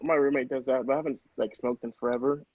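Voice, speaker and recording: A man's voice: mid-range voice, steady tone, fast, dry recording. A man in a casual podcast chat, rambling along and peppering his talk with "like". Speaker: podcast cast member. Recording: slightly muffled, lo-fi audio.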